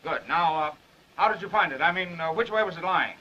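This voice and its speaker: A brash young newsman, played by an actor, rattles along in a high, cocky voice. It is old 1930s movie acting on a dull soundtrack. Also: a little fast, natural voice. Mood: brisk, probing. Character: brash, cocky young newspaper reporter, eager and fast-talking.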